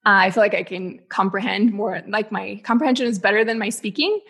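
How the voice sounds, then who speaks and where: Lady on a podcast, spanish is her first language, gravelly voice, lots of "like" and "um". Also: medium pitch, a little fast, a bit bright. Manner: reflective, many "like" and "um" fillers. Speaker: guest, business mentor. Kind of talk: casual podcast conversation.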